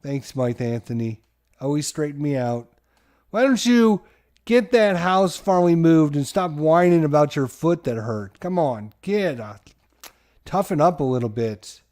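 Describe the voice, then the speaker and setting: Middle-aged male host, board game channel; energetic announcer-style commentary on his own play. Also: a little high, a little slow. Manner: hyped, sports-announcer style play-by-play to viewers.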